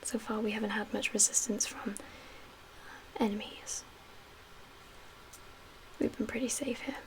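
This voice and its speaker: A woman playing a calm, guarded survivor leader in a soft-spoken ASMR roleplay, half-whispering in a measured, reassuring way with pauses. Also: mid-range voice, lightly acted, low-quality recording. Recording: audible background noise.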